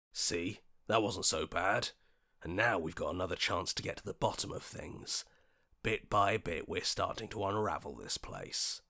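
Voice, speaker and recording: Low, gravelly, level-headed game hero. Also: male voice, sharp tone, heavily acted. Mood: reassuring. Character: level-headed, questioning male hero voice in the player's head. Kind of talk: video game voice acting.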